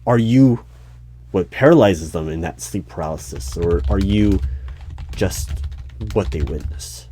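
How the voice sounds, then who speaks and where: A man playing a dry, unflappable hiring manager in a one-sided ASMR roleplay job interview, asking measured, halting questions. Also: low-pitched, lively intonation, mellow, a little hiss or hum. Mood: curious, deliberate. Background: computer keyboard typing and clicks.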